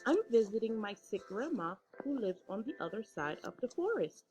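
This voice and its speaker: A woman's voice: medium pitch, big pitch swings, normal speed, darkish tone, voice pushed up, natural voice. A woman voicing an innocent, trusting little storybook girl with a naive, eager manner. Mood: cheerful, curious. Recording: slightly dull audio, music behind.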